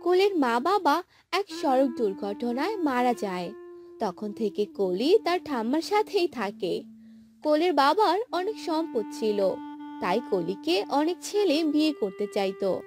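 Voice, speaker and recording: A woman's voice: sits high, very animated, a little slow, a little mellow. A woman narrating a scripted fairy-tale cartoon as its storyteller. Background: music.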